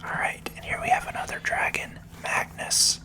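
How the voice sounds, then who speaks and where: A host whispering gentle, unhurried ASMR commentary over a video game playthrough, in a soft, breathy, soothing voice.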